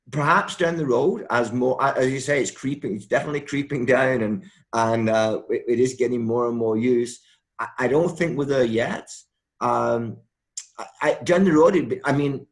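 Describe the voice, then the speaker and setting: A university professor speaking in a video call interview, halting with many restarts and repeated words. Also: male voice, mid-range voice.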